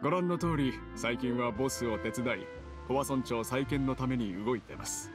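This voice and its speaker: アニメ調ゲームで、忠実で謙虚な若い補佐役を丁寧な敬語調で演じる男性。. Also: male voice, medium pitch, moderate pace, over music, close to an everyday voice. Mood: respectful. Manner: formal, deferential. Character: polite, loyal young male aide, humble and earnest. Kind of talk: Japanese anime game voice acting.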